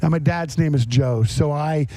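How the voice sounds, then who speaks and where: A man's voice: on the high side, normal speed, dark tone, no room sound. A middle-aged American man from Florida hosting a casual interview, telling anecdotes in an emphatic way. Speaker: podcast host. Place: on location at a garage.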